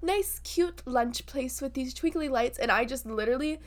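A woman's voice: high-pitched, moderate pace. A young woman co-hosting a podcast, chatting in a bubbly way and laughing easily while congested and sniffly. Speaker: podcast co-host. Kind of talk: casual chat.

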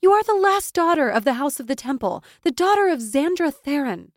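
A woman's voice: mid-range voice, normal speed, no room sound, studio quality, natural voice. A woman voicing a loyal, stubborn young woman in a fantasy audiobook, insistent and impassioned. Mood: fierce, rousing. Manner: insistent, impassioned, gaining momentum. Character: fierce, stubborn, loyal young woman, pleading best friend.

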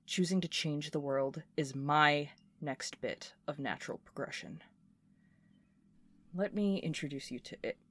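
A woman playing a scrappy, intense inventor recording a personal log in an audio drama, talking in a halting, volatile way. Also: low voice, slightly rough. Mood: solemn, intense. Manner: swearing, halting, swinging from muttering to fervent.

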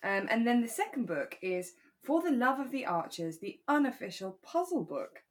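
A woman with a British accent, chatting casually and in a relaxed way as a podcast host. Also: a little high, normal speed.

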